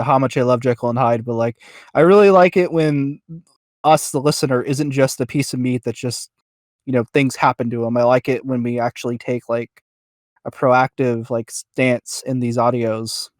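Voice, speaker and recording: A man interviewing casually as a podcast host and fan, speaking in a hesitant, halting way with many ums. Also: medium pitch, darkish tone, dry recording, clean studio sound.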